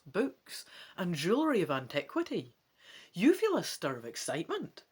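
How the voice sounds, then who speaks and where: A man's voice: on the high side, husky. A man giving a dramatic narration as he plays through a fantasy gamebook.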